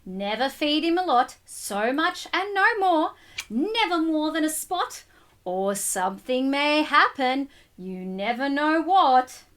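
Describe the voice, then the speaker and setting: A woman voicing a male pet shop keeper in a storybook, instructive and measured as he gives warnings. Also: sits high, sing-song, fairly bright tone, exaggerated voice. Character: cautionary male pet fish seller in a storybook.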